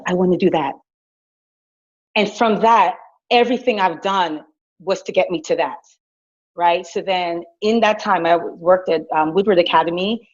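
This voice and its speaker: A middle-aged woman from Guyana, an educator, telling her leadership story in a lively, chatty way with fillers and restarts. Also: on the low side. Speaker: director of innovation at a school. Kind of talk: personal leadership story, casual. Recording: dull lo-fi recording.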